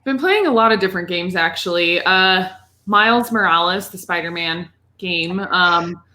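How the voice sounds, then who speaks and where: A woman's voice: on the low side, animated, normal speed, pushed and bright, a little hiss or hum. A woman co-hosting a podcast, chatting casually about video games in an informal, rambling way. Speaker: podcast co-host.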